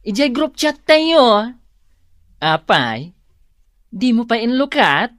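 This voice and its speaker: Slow-talking gossipy Ilocano neighbor. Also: female voice, a little high, sing-song, dry recording, studio quality. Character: gossiping village neighbor woman, unhurried. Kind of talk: Ilocano comedy radio drama acting.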